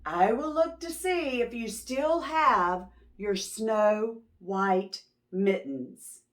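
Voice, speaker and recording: A woman voicing a caring old grandmother in a children's storybook, giving firm warnings in emphatic, drawn-out words. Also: a little high, lively intonation.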